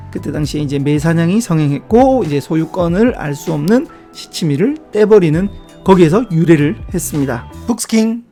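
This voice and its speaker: A middle-aged man talks through word origins in a relaxed, chatty way, dropping small jokes about himself as he goes. His voice is mellow and warm, with faint background music playing behind him. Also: on the high side. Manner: chatty, reflective asides and small self-deprecating jokes. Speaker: book-review video host. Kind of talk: casual explanatory talk about word origins.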